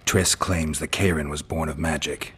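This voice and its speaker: An actor playing a gruff, dry-witted monster hunter in a video game, laconic and deadpan, with a low gravelly voice. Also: male voice, normal speed, exaggerated voice. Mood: dry, wry.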